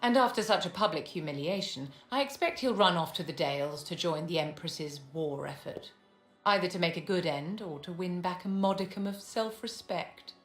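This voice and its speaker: An actress playing a poised, aristocratic mage in a video game, speaking in a measured, courtly and haughty manner. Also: female voice, lowish voice, lightly acted. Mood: courtly, composed. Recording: reverberant hall with music underneath.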